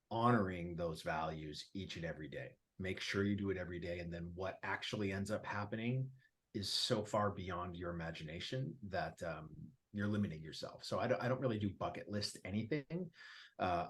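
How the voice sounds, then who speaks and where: Deep-voiced man chatting casually. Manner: relaxed, emphatic, laughs easily. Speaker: featured guest, app founder. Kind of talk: casual conversational interview.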